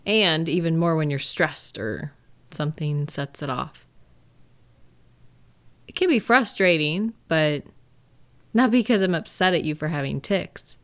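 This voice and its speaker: A woman acting a gentle, caring partner in an intimate comfort roleplay, speaking in a tender, unhurried, reassuring way. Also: low-pitched, animated. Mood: reassuring, lightly amused, then worried, caring. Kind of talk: roleplay comfort audio, intimate one-sided conversation.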